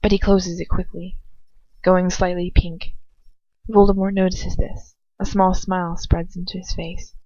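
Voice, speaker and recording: A woman's voice: fairly low pitch, flat delivery, a little slow, a little mellow. A woman reading fan fiction aloud as an amateur narrator, informal and often breaking off into casual asides. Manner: informal, self-interrupting. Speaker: amateur audiobook reader. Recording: roomy, muffled lo-fi home recording.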